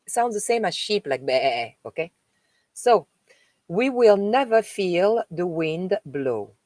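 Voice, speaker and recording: A woman from France with a French accent, a spiritual host reading a text aloud and stopping for chatty asides, with slow, emphatic delivery. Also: fairly low pitch, big pitch swings, a little mellow, dry recording, studio quality. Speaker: spiritual live-stream host. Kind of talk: reading aloud with spoken commentary.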